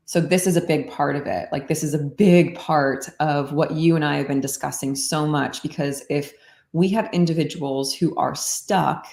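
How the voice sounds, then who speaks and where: A woman explaining meditation practice in a calm, unhurried way, often saying "like", in casual podcast conversation. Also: deep voice, vocal fry, echoey room. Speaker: meditation teacher.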